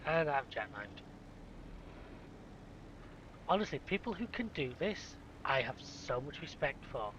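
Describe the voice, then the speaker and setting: A man with a cold and a blocked nose talks casually over a farming game, muttering and mocking himself as things go wrong. His voice sits at an ordinary pitch for a man. Vehicle rumble from the game runs clearly underneath. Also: sing-song, a little slow, long pauses, lo-fi sound. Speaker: gaming YouTuber. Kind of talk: casual gameplay commentary. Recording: game sounds and vehicle noise behind. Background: farming game vehicle noise.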